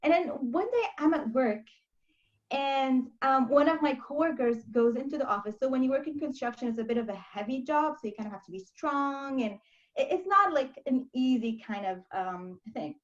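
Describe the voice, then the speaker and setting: A woman's voice: high voice, animated, lo-fi sound. A young woman from Lebanon, a life coach, telling her story candidly in an interview over a video call. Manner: candid, storytelling, quick asides. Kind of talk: interview conversation.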